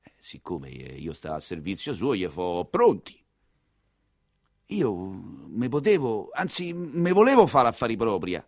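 A man's voice: lowish voice, big pitch swings, moderate pace, dry recording, clean studio sound. A man with a Roman accent performing a theatrical storytelling monologue as a coachman, in colloquial, musing dialect with chatty asides. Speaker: stage actor narrating as a papal-era coachman.

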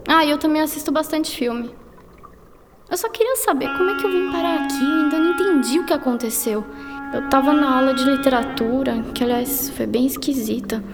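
A woman's voice: high-pitched, brisk. A woman acting in a radio drama as a curious, chatty teenage schoolgirl with a Brazilian accent, speaking in a youthful, colloquial way. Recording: long reverb, loud music behind.

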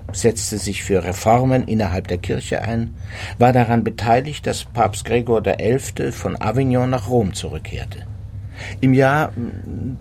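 A middle-aged male psychiatrist in a radio play, patient and clinical, lively pitch, faint noise behind. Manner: measured, lecturing, clinical. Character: male psychiatrist, knowledgeable, matter-of-fact, explaining patiently. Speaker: actor in a radio drama. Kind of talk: radio drama dialogue.

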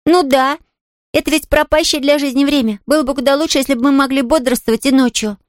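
A woman's voice: high voice, steady tone, quick pace, dry recording, studio quality, voice pushed up, close to an everyday voice. A woman voicing a polite, chatty, cheerful little girl in an audiobook, eager and artless. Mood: earnest. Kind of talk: audiobook character voice.

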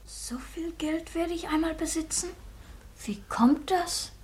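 A performer in a 1950s German radio drama playing an innocent, grateful young orphan boy with a soft, polite manner. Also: extremely high pitch, unhurried. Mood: wondering, wistful.

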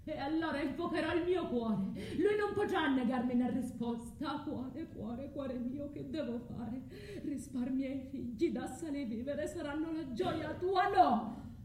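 A woman's voice: sits high, unhurried, a little mellow. An actress playing Medea, an anguished, vengeful mother, in an impassioned, declamatory tragic monologue for radio theatre. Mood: resolute, anguished. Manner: impassioned, declamatory, swinging between tenderness and fury. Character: Medea, anguished vengeful mother resolving to kill her children. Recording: roomy echo, faint background noise.

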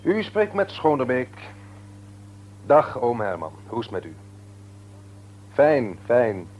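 An actor playing an easygoing, dutiful married shopkeeper in a radio serial, talking naturally with a mildly wry manner. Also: male voice, mid-range voice, big pitch swings, slow, darkish tone, slight background noise. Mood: polite, dutiful. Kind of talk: Dutch radio serial drama acting.

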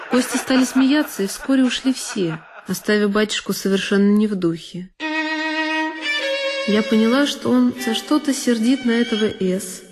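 A woman actress giving an expressive, reflective narration in a one-woman audio play. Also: on the high side. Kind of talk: scripted literary narration. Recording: long reverb with violin music. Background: solo violin.

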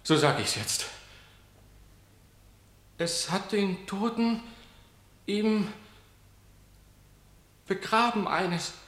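Anxious male guard, high voice, halting declamation, deferential, verse drama, echoey room. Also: expressive, fairly bright tone, heavily acted. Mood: uneasy reporting. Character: anxious, hesitant male guard reporting to his master. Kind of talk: German stage-verse radio drama acting.

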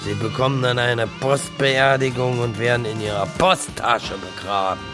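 An actor in a radio play as a drunken, cranky, coarse tenant who rambles and jeers. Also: male voice, medium pitch, steady tone, moderate pace, over music, close to an everyday voice. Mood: sullen, rambling. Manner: blunt, coarse, jeering, swinging between barking and muttering. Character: drunken, cranky, rambling jobless male tenant, newly widowed. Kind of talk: radio drama acting.

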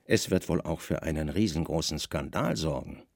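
A man's voice: extremely low pitch, animated, normal speed, gravelly, a little mellow, no echo, studio quality, pitched below the performer's own voice, exaggerated voice. A man playing a stern Roman secret service commander in a radio play, coolly cunning, formal and deferential. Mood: dryly amused.

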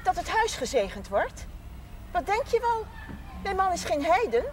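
An actress playing a proud, chatty older village wife who takes offense easily but soon calms down. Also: female voice, very high-pitched, normal speed. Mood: touchy. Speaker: shopkeeper's wife. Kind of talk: 1970s TV drama acting. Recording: noisy old TV broadcast audio.

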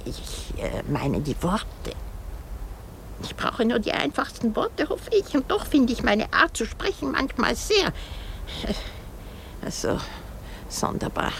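A woman's voice: a little high, huge pitch swings, slow, vocal fry, long pauses, slight background noise, heavily acted, rough recording. An actress playing an old, self-pitying, hysterical married woman in a radio drama, rambling theatrically with sighs and exclamations. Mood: musing, uneasy. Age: older.

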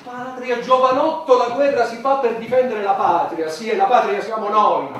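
A man's voice: very high voice, fairly even intonation, normal speed. An actor playing a pompous, exasperated war advocate in theatrical satire, declaiming as he lectures. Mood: insistent, irritated. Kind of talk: theatrical satirical stage dialogue. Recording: roomy, echoey, distant, audience noise.

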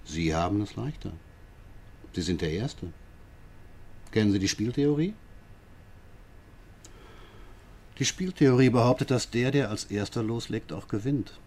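An actor playing a sly, patronising police inspector who questions a prisoner with drawn-out, needling questions in a radio drama. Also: male voice, fairly low pitch, animated, a little mellow. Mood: coaxing, menacing.

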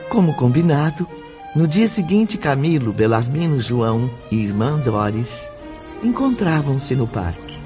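A man narrates a radio drama slowly and deliberately, his tone dark and mellow. Faint music plays softly behind his voice. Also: medium pitch, very animated. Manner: slow, measured.

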